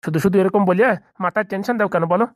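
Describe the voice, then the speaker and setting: A performer doing a fussing middle-aged cartoon parent, kindly, extremely rapid chatter, Bengali accent, dry recording. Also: medium pitch, no room sound, close to an everyday voice. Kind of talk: Bengali comedy cartoon dialogue.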